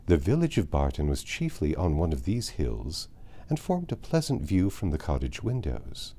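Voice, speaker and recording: A man narrating a classic novel aloud in a measured, expressive storybook manner. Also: extremely low pitch. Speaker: audiobook narrator. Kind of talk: literary audiobook narration.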